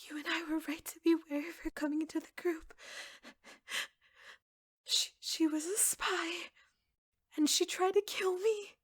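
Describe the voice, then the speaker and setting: A woman playing an obsessive, jealous secretary in an ASMR audio roleplay, soft-spoken and often slipping into breathy whispers. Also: sits high, no room sound, studio quality. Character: obsessive yandere secretary, devoted, jealous, coldly menacing woman.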